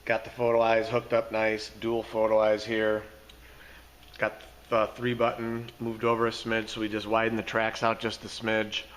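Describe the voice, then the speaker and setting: A hoarse man giving a casual, folksy walkthrough of his garage door repair work. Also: fairly low pitch, monotone, moderate pace, a bit bright. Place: underground parking garage. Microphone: handheld phone camera.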